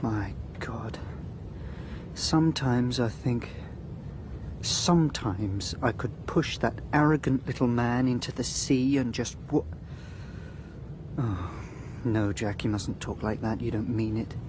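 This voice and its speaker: Actor as a put-upon, brooding English son. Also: male voice, mid-range voice, slow pace, background noise, natural voice. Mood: bitter, brooding. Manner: hesitant, brooding, self-reproving. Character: put-upon adult son, resentful yet guilt-ridden and anxious. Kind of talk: British radio drama acting.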